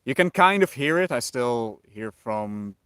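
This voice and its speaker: A man's voice: mid-range voice, unhurried, smooth, no echo, studio quality. A man from the Netherlands with a light Dutch accent, chatting casually with viewers on a livestream and musing over their questions. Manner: relaxed, musing, reads chat aloud and answers.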